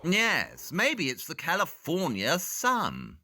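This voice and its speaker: An actor playing an aloof, sardonic detective in an audio drama, speaking in a precise, formal, condescending way. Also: male voice, fairly high pitch, wildly swooping pitch, slow pace, bright, forceful tone, a little hiss or hum, full character voice. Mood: dry, sardonic.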